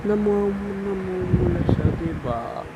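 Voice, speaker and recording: A young man with a Filipino accent tells stories casually to his vlog viewers. His voice sits slightly high, and his delivery is campy, gossipy and dramatic. Loud noise runs behind him throughout the homemade recording. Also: expressive, unhurried, muffled recording. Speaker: vlogger. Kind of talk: casual storytime vlog chat.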